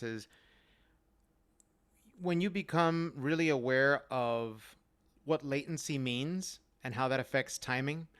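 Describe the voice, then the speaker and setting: A man, an actor on a panel, talking reflectively and deliberately over a video call. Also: medium pitch, a bit bright, smooth.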